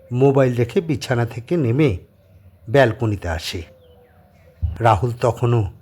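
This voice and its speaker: A man with a husky voice reading scripted dramatic narration as the storyteller of an audio story. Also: medium pitch, a little mellow.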